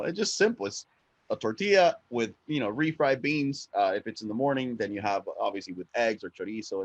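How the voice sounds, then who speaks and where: A man from Honduras with a fairly low voice talks casually as an interview guest. He tells things in a repetitive, conversational way, often tagging on "right?", and the sound is close and dry. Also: no room sound, muffled recording. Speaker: guest, cigar brand ambassador.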